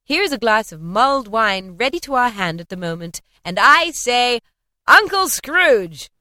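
A woman voicing a cheerful young gentleman who proposes a toast in a hearty, loud voice. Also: mid-range voice, lively intonation, brisk, dry recording, studio quality, natural voice. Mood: jolly.

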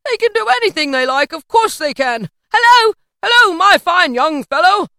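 An old reformed miser from a storybook, acted out by a woman, bursting with giddy joy. The voice leaps high and swoops widely, whooping and exclaiming in theatrical delight. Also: sing-song, fairly quick, a bit bright, no echo, studio quality, full character voice. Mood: giddy, elated. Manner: exclamatory, whooping, laughing between phrases. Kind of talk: theatrical storybook character reading.

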